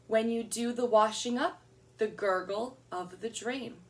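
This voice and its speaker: Young woman teacher, gentle, a bit distant. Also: a little high, a little slow. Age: young adult. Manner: gentle, encouraging, speaks to children. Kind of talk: online lesson with poem reading. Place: home room with plants and shelves, indoors. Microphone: webcam at desk distance.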